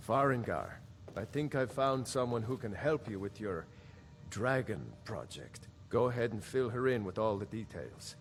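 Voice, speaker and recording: An actor playing a proud, decisive Nordic ruler in a fantasy video game, speaking with stately authority. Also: male voice, fairly low pitch, lively intonation, normal speed, slight background noise. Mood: stately, approving.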